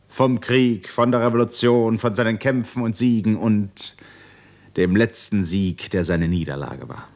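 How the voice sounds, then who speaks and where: A man narrating a 1950s German radio drama in a grave, literary style, measured and solemn with dramatic pauses. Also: low-pitched, natural voice. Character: grave, literary male narrator of a tragic novel.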